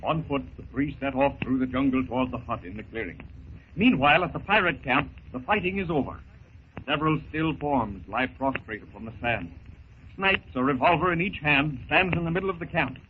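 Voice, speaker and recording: A man's voice: mid-range voice, expressive, fairly quick, lightly acted. Man as vintage radio serial narrator, tinny sound. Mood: dramatic, suspenseful. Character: grave storyteller of a jungle adventure serial. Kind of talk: scripted 1930s radio narration. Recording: tinny, hissy 1930s radio recording.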